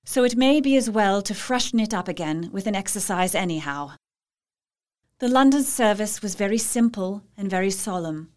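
A woman's voice: mid-range voice, expressive, normal speed, darkish tone, close to an everyday voice. An actress playing a thoughtful, dutiful young Victorian wife, narrating her journal in a reflective and precise manner. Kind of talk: dramatic diary narration in audio drama.

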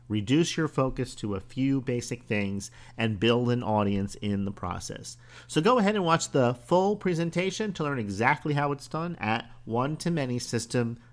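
Brief: male podcast host, hoarse, mid-pitched, casual thinking-aloud delivery. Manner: conversational, musing aloud with pauses and small laughs. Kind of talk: solo podcast monologue.

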